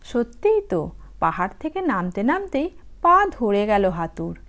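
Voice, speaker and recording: A woman reading a children's story aloud as a storyteller, with expressive, unhurried, dramatic phrasing. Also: mid-range voice. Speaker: storyteller, audiobook reader.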